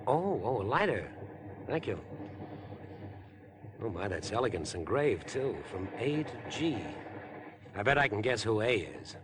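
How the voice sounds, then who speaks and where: An actor playing an overeager, ingratiating stranger with an obsessive streak, chatty and pushy in 1950s radio drama style. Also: male voice, sing-song, a little slow, long pauses. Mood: chatty, prying. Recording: 1950s radio broadcast, train rumble behind.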